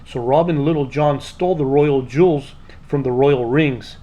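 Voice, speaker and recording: A man narrating a children's storybook aloud in an expressive, scripted read-aloud style. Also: mid-range voice, normal speed.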